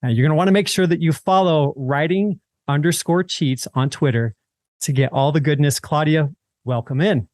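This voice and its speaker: Male podcast host, slightly high voice, conversational interview delivery, close dry recording. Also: normal speed, a little mellow, no room sound.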